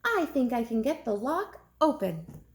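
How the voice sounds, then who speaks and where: A woman's voice: fairly high pitch, sing-song, measured pace. A woman voicing a determined, caring young girl rabbit in a children's storybook, speaking earnestly. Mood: firm. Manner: earnest, urgent, firm.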